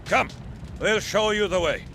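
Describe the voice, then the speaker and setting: An actor playing an excitable, anxious little male dwarf in a video game cutscene. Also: a little slow. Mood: lively. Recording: noisy low-quality game screen capture.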